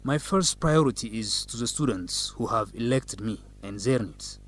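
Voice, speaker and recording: Student council president, young man, mid pitch, formal and measured like a politician, radio drama. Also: lively intonation, fairly bright tone. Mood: formal, assured. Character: dignified young male law student, newly elected council president. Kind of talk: scripted educational radio drama, interview answers.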